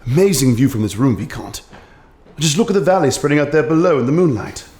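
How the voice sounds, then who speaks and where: Brief: man voicing a cool sleuth, clipped and measured, roomy echo. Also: a little high, animated, darkish tone, a little hiss or hum, lightly acted. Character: cool, precise English detective.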